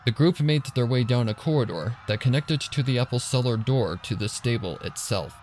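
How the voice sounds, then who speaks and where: A man's voice: mid-range voice, expressive, normal speed, slight background noise. A man narrating a story in a dramatic, suspenseful, theatrical scripted style. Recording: loud ambient sound effects over the voice.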